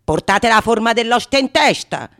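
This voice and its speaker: A woman acting a brash, needling male rogue in a radio comedy, loud, theatrical and sarcastic. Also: fairly high pitch, animated, moderate pace, pitched above the performer's own voice. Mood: scornful, flattering. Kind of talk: stage monologue radio drama.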